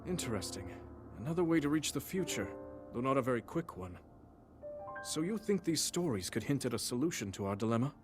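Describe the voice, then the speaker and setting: An actor, a man, playing a noble, thoughtful king with a formal, courteous, measured delivery in a video game cutscene. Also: medium pitch, big pitch swings, lightly acted. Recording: faint orchestral music behind the voice.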